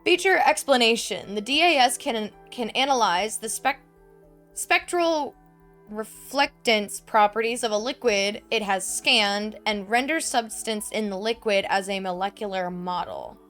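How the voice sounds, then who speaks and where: A woman's voice: medium pitch, measured pace, fairly bright tone, faint music behind. A woman giving casual gameplay commentary into a desk microphone at home, chatty and full of "um" and "like". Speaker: let's play gamer.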